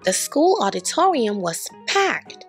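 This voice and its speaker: A woman giving an expressive children's storybook read-aloud, putting on voices for the characters. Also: a little high, slow pace, faint music behind. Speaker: storybook reader.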